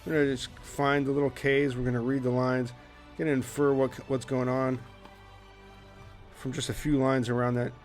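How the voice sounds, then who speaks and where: Breezy mid-pitched man chatting. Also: little pitch movement, normal speed, soft background music. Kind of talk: casual chatty preamble before a script reading.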